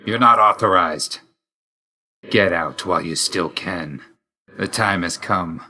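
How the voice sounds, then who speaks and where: A man's voice: deep voice, takes it slowly, bright, forceful tone, slightly rough, full character voice. A man voicing a grim, reserved god of death in a video game, speaking tersely and solemnly with dry loyalty. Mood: stern, commanding. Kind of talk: scripted video game voice lines.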